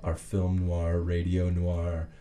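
A man with a deep, dark, mellow voice co-hosting a radio show. His delivery is casual, dry and understated, with some hesitation between phrases. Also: completely flat delivery, slow. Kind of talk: casual co-hosted radio chat. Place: radio studio.

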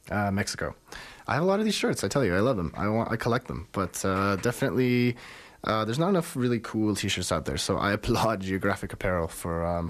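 A man hosting a radio arts show, talking casually and chatting easily with fillers. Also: medium pitch. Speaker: radio host.

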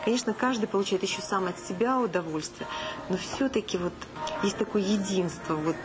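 A woman's voice: brisk, music in the background. A middle-aged woman, an acting teacher and actress, talking earnestly and expressively in a casual interview. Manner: expressive, earnest, with lively pitch jumps.

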